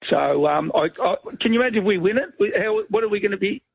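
Male caller, gravelly, a bit high, excited. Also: no room sound, phone-quality sound. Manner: excited, rambling, halting. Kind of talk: casual phone-in chat.

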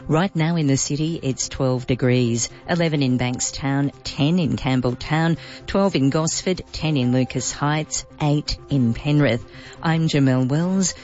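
Woman reading radio weather, brisk and even, faint music, dull broadcast sound. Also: a bass voice. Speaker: newsreader. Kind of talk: weather report.

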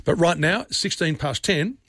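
A man with an Australian accent hosting talkback radio in a casual, rambling way, his voice scratchy and hoarse. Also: fairly high pitch, animated, unhurried, a crowd in the background. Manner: rambling and chatty, with restarts.

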